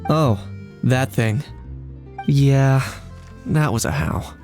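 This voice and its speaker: An actor playing a pragmatic, unbothered young man in a video game, speaking in a measured, matter-of-fact deadpan. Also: mid-range voice, over music. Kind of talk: English video game dub acting.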